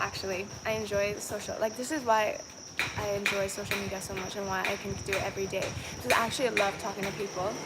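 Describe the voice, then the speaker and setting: A young woman with a Trinidadian accent, chatting casually to her live viewers with lots of fillers and restarts. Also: mid-range voice. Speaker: vlogger. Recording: loud background noise.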